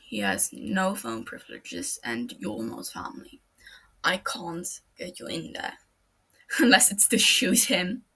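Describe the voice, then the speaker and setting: A man's voice: high voice, big pitch swings, takes it slowly, creaky, pushed and bright, breathy edge, exaggerated voice. A woman voicing a cool, aloof genius detective. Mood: detached.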